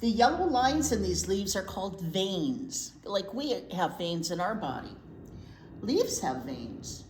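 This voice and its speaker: A woman's voice: mid-range voice, very animated, slow, a little mellow. An American woman, a storytime teacher, talking and reading to young children with simple questions and chatty asides. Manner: asks the listeners simple questions. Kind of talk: picture-book read-aloud with chatty asides to young children. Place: indoors in a library. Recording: echoey room with faint background noise.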